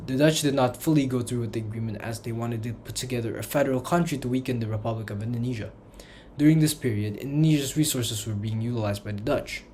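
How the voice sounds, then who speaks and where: A man's voice: fairly low pitch, darkish tone, slight background noise. A man reading a scripted history narration for a personal school project, in careful textbook phrasing. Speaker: student narrator.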